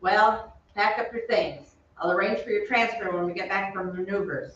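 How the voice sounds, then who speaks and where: An actor playing a brisk, commanding male army sergeant with clipped, authoritative delivery in a staged old-time radio drama. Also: mid-range voice, fairly bright tone, lightly acted. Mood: stern. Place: theatre stage. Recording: very echoey, distant, dull, faintly noisy stage.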